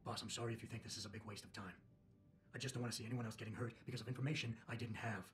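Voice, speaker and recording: An actor playing a terse, wary, stubbornly dutiful security chief in a video game, blunt and suspicious. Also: male voice, lowish voice, steady tone, racing, a little hiss or hum, lightly acted. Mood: reluctant.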